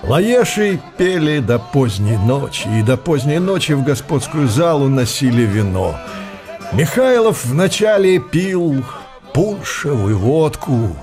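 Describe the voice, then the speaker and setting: Expressive, higher-voiced male narrator. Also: slow, music in the background. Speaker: narrator of a radio play. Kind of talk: dramatic literary narration.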